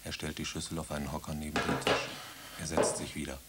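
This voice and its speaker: A man calmly reading out the stage directions of a radio drama, matter-of-fact. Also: extremely low pitch, quick pace, heavy background noise. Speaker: narrator.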